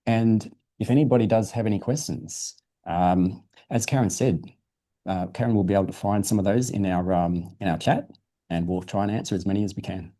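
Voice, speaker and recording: A man's voice: fairly low pitch, fairly quick, warm tone. A man presenting science, answering questions informally in an encouraging, explanatory way for a young audience.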